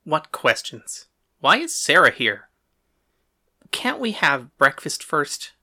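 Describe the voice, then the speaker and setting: A woman's voice: low voice, very animated, takes it slowly, bright, forceful tone, pitched below the performer's own voice, heavily acted. A woman voicing a defiant, exasperated mayor and husband with an indignant, blustering manner in an audiobook. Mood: protesting. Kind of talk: audiobook character dialogue.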